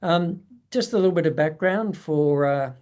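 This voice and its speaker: A man's voice: a little high, moderate pace, a little mellow, smooth. A man hosting an interview over a video call, introducing the guest and asking questions with frequent fillers and restarts. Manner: friendly, hesitant with many fillers. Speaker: host.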